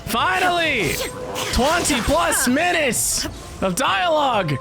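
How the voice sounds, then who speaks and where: A man hosting a casual game reaction, commenting as he plays with playful, expressive exclamations. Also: very high-pitched, slow, gravelly, over music. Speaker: gaming reaction host.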